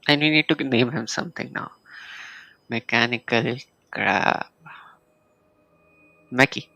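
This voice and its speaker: A man's voice: mid-range voice, slow pace, creaky, sharp tone, highs cut off, faint music behind. A man with an Indian accent giving casual, playful, reactive live commentary as he streams a game.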